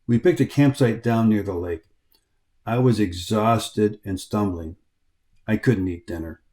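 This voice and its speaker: A man's voice: on the low side, a little slow, warm tone, dry recording. A middle-aged man with an American accent narrating his long-distance hike in a wry, understated storytelling voice. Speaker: hiking vlogger. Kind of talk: scripted travel narration.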